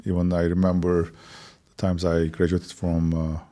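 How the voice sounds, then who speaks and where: A man of about fifty from Turkey with a Turkish accent, answering interview questions reflectively with halting phrasing. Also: low voice, completely flat delivery, mellow. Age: around 50. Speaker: interview guest, technology entrepreneur.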